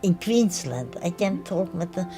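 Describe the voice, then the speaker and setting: An older woman speaking haltingly about her own daily life. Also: lowish voice, lively intonation. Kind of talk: personal testimony. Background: faint music.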